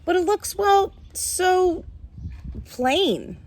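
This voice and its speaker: A woman voicing a polite, wistful hermit crab in a storybook, with an earnest, admiring and expressive delivery. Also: very high voice, extremely slow, voice pushed up, full character voice. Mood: worried, wistful.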